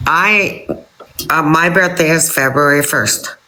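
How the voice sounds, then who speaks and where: A woman in her fifties in a casual live-stream chat, chiming in often with quick, agreeing interjections. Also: sits low, bright, forceful tone, a little hiss or hum, rough recording. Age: 50s. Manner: chatty, quick affirming interjections.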